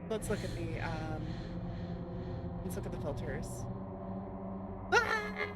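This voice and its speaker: A woman's voice: fairly low pitch, wildly swooping pitch, slow pace, heavy background noise. A woman hosting a livestream in a casual, chatty and playful way. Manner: playful, chatty, thanks and greets viewers. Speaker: livestream host, VTuber.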